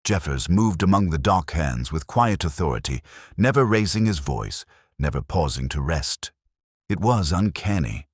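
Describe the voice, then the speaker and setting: Super deep husky guy narrating a grave mystery tale, voice swings up and down. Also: sing-song, moderate pace, no room sound, clean studio sound. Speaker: audiobook narrator. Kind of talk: scripted dramatic mystery narration.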